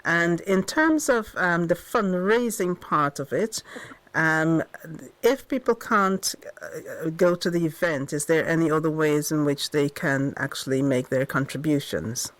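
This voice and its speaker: A woman radio interviewer with a halting, conversational way of asking, repeating and rephrasing her words. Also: deep voice, no room sound.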